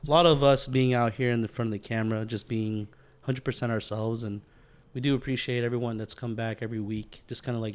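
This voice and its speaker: A man's voice: medium pitch, clear, resonant tone. A man co-hosting a podcast in loose, rowdy casual chat, swearing freely and leaning on bro slang. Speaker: podcast co-host.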